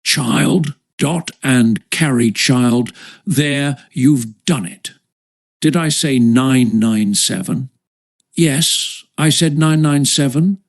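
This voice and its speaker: A man's voice: mid-range voice, slow pace, creaky. Man acting a fussy, calculating father. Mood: flustered counting. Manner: muttering sums aloud, breaking off to scold. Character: fussy, calculating father, anxious and easily interrupted.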